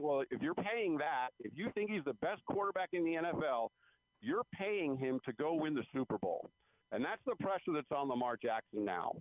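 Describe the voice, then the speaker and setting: A man's voice: on the high side, dry recording. A man giving conversational sports analysis as a guest reporter, repeating phrases for emphasis, over a phone line. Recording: phone-like radio call-in line.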